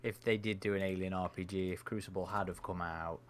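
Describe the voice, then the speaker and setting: A man with a fairly low voice chats on a live game stream, pausing often and hedging his opinions. A faint hiss runs underneath. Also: steady tone. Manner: thoughtful, hesitant, with frequent fillers. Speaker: stream co-host. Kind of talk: casual gaming chat.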